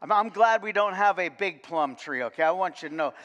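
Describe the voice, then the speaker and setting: An older man preaching a sermon in a folksy, animated way, full of repeated words and "okay?" asides. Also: high-pitched, fairly quick, highs cut off. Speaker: pastor. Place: large church sanctuary, indoors. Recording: long reverb of a church hall.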